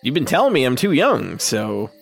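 A man's voice: mid-range voice, huge pitch swings, normal speed, gravelly, soft background music, full character voice. A man voicing an easygoing teenage boy who talks hesitantly and casually.

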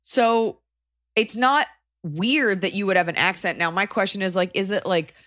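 A woman co-hosting a casual podcast, giving blunt, sarcastic opinions in quick bursts. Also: medium pitch, fairly bright tone, no room sound, clean studio sound. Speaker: podcast co-host.

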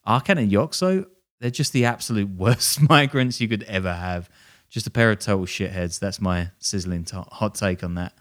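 A male podcast host with a smooth, clear, fairly low voice. He spins a crime story in loose, casual chat, cracking jokes at his own expense and laughing along the way. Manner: jokey, self-deprecating, laughs while telling.

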